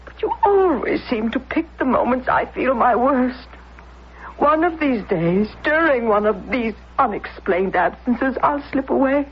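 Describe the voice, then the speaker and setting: A woman's voice: a little high, animated, measured pace, husky. A woman acting in a radio drama as a controlling, self-pitying older invalid mother, querulous and martyred. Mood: martyred, reproachful. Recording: muffled radio drama recording.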